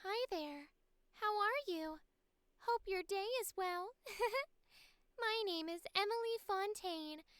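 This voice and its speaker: A gentle, playful young woman puppeteer, speaks in a soft and extremely high girlish voice. She is kind and giggly, taking her time over each bright phrase, in a very dry, close recording. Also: slow, no room sound, exaggerated voice. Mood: sweet, playful. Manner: cheerful, giggly. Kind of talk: character voice audition read.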